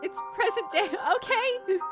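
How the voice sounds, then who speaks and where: A woman's voice: extremely high pitch, expressive, unhurried. A woman streaming a game, reacting casually to cutscenes in offhand chat. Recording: loud game music behind. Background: video game cutscene music.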